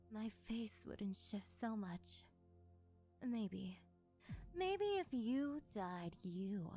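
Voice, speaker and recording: A female sleep paralysis demon, played by a woman, murmurs close to the mic in a soft, mellow, breathy voice that often slips into whispers. She takes her time, taunting and possessive, like a menacing yandere lover in an ASMR roleplay. Faint music plays behind her. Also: mid-range voice. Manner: slow, teasing, menacingly intimate. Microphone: close ASMR microphone.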